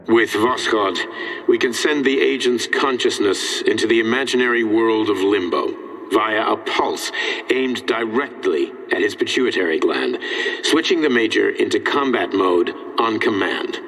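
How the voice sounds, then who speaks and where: An actor playing a male scientist giving a measured, clinical briefing. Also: fairly low pitch, lively intonation, fairly bright tone, big-room sound, rough recording.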